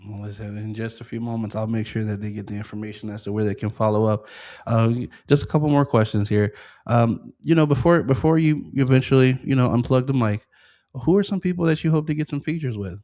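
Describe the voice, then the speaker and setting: A man's voice: mid-range voice, fast, warm tone. A man hosting a casual podcast interview, laid-back, with frequent restarts and repeated words. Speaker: podcast host.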